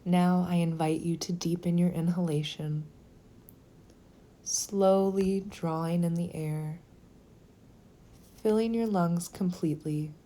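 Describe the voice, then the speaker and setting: A woman leading a guided meditation in a slow, gentle, soothing manner, with phrases sometimes softening toward a whisper. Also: lowish voice, fairly even intonation.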